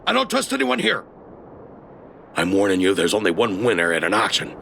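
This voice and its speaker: A deep, very gravelly game character who distrusts everybody. He blusters with a short temper, swinging wildly in pitch, over loud music. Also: wildly swooping pitch, a little fast, a little hiss or hum, exaggerated voice. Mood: suspicious, hostile. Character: paranoid, hot-tempered, suspicious man at an auction. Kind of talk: animated game voice acting.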